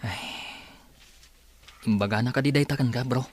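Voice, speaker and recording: A man's voice: mid-range voice, moderate pace, darkish tone, husky, close to an everyday voice. Man acting a lovelorn young Ilocano student. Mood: probing. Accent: Filipino, Ilocano. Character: lovelorn, resigned young male college student. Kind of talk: radio drama acting.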